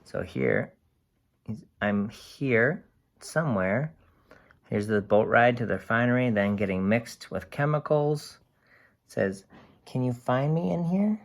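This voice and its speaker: A storyteller reading a children's picture book aloud, giving gentle explanatory asides and inviting young listeners along. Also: mid-range voice, vocal fry.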